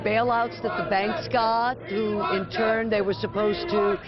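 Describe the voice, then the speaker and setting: A man's voice: sits high, level tone, measured pace, over loud music. A man speaking as a protester in a street interview. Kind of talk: spontaneous vox pop. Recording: dull audio amid loud crowd.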